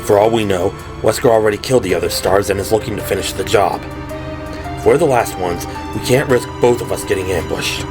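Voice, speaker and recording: Guy doing a deep steady cop in an audio drama, firm n protective, kinda quiet, music behind. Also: brisk, lightly acted, poor audio. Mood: grave, firm. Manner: low-key, firm, reassuring. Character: protective, steady young male police officer giving orders.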